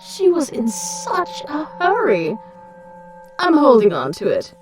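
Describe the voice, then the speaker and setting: A woman's voice: high-pitched, wildly swooping pitch, slow, music in the background, full character voice, rough recording. A woman playing a menacing villain in an audio drama, taunting with mock sweetness and condescension. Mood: mocking glee. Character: mocking, menacing villain taunting a young magician.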